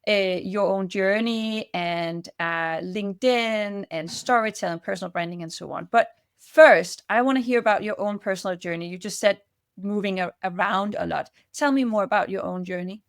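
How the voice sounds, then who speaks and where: A woman hosting a podcast, asking questions in an enthusiastic way with quick laughs and restarts. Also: mid-range voice, smooth, dry recording, studio quality. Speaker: podcast host. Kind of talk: casual interview chat.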